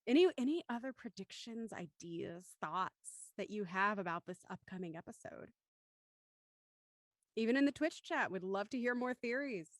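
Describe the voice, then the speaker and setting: A woman's voice: medium pitch, expressive, moderate pace, no room sound, studio quality. A woman hosting a live online show in a chatty, encouraging way. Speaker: host and narrator.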